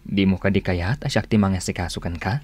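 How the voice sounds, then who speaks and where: A man's voice: deep voice, fairly quick, a little mellow, no echo. A man with an Ilocano accent acting in a radio drama as a considerate, patient young boyfriend. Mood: patient, resigned. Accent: Northern Philippine, Ilocano.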